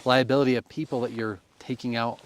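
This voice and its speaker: A man's voice: medium pitch, steady tone, quick pace, a little hiss or hum. A man from Utah hosting a podcast interview, asking chatty, encouraging questions. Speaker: podcast host. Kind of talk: casual interview.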